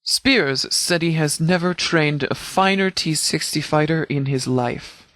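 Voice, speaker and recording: An actor playing a stern, principled middle-aged sheriff in a video game, speaking in a formal, grave and deliberate way. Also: male voice, on the high side, fairly bright tone, no echo. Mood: approving. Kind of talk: scripted video game character dialogue.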